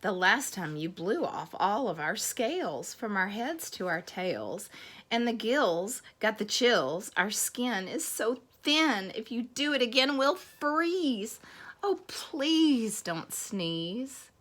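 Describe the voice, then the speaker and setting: A woman's voice: a little high, lively intonation, takes it slowly, a bit bright, exaggerated voice. A woman voicing a worried, thin-skinned fish that pleads in a children's storybook reading. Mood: worried, pleading. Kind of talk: children's storybook read-aloud.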